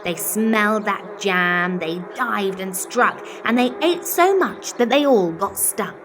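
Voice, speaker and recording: A woman's voice: medium pitch, expressive, noisy background. A woman reading a children's picture book expressively, in a dramatic, rhythmic way that draws out words. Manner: dramatic, rhythmic rhyming delivery with drawn-out words. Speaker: storyteller.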